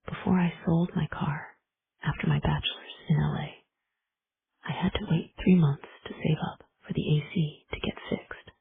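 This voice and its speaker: A woman narrating a first-person romance audiobook in a hushed, intimate whisper. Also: sits low, steady tone, normal speed, gravelly, husky. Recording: tinny phone-like recording.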